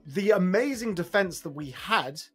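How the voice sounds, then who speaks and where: A man in his thirties giving a blunt, emphatic, exasperated fan reaction to camera after a football match, with a husky voice. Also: high-pitched, animated, moderate pace, faint music behind. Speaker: football fan channel host. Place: small room at home, indoors.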